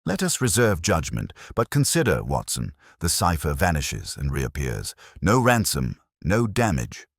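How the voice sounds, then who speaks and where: Guy reading as a very deep-voiced victorian detective, calm, clipped, lively intonation, storybook mystery. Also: slightly rough, no room sound, clean studio sound, exaggerated voice. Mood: measured, pondering. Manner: clipped, authoritative, deliberate.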